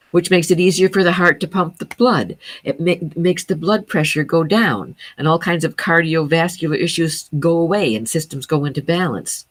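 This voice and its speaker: A woman with a low voice for a woman, a sound healing teacher speaking as a guest, explaining earnestly with many fillers and restarts. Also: no echo.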